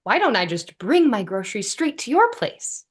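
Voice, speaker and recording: Woman acting exasperated, animated young female roommate. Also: mid-range voice, moderate pace, slightly rough. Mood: pointed. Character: Monica, exasperated, blunt, tough-love young woman roommate. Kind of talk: sitcom script table read.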